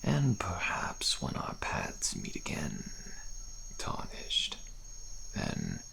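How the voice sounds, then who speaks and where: A man playing a weary, humble deserter soldier in a fantasy ASMR roleplay, speaking in measured, formal phrases with a breathy, gravelly half-whisper. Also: extremely low pitch, exaggerated voice, poor audio. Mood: grim, urging. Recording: steady background night insects, crickets.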